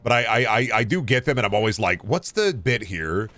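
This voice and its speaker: A man chatting casually as a video game streamer, rambling in a halting way and often repeating phrases. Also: on the low side, very animated, sharp tone, dry recording. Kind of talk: casual rambling livestream chat.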